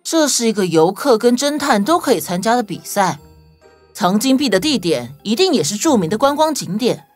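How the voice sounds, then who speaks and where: Woman as a clever, animated, serious detective. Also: a little high, normal speed, soft background music. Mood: explaining. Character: serious, clever detective who explains things confidently. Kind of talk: children's audio story voice acting.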